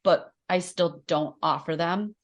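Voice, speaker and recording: A woman's voice: fairly low pitch, a little slow, dull audio. A woman in her thirties hosting a small-business podcast, chatty and thinking aloud with fillers. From Chicago area, United States. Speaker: podcast host. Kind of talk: interview podcast.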